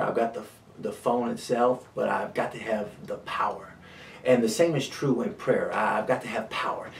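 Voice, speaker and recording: A man delivers a devotional talk as a pastor, earnest and measured, pausing around repeated phrases. His voice sits on the low side with a gravelly fry. The recording is echoey, with the microphone some distance from him. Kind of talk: short devotional sermon talk. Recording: roomy echo, distant microphone.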